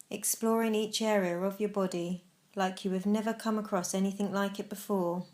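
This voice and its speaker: A woman narrating a guided mindfulness meditation, giving gentle, measured instructions with pauses. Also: medium pitch.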